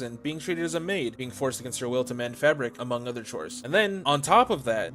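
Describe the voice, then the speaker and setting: A man with a voice a little higher than average hosts a story recap. He reads a script in a casual way and slips in wry, playful asides as he goes. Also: fairly bright tone. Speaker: video host, narrator.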